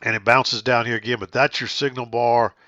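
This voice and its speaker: A man with a Southern US accent, a little hoarse and stuffy, calmly walking through a trading chart with frequent ums and uhs. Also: medium pitch, a little fast, no echo. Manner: calm, methodical, many ums and uhs. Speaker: trading educator. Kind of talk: day trading chart recap. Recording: dry, slightly dull audio.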